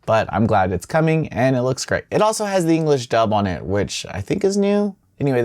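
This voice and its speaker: A man's voice: medium pitch, darkish tone, no room sound, studio quality. A man hosting a gaming news show, running through the stories casually with chatty, self-doubting asides. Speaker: host of a weekly video game news show. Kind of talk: casual news rundown with off-the-cuff commentary.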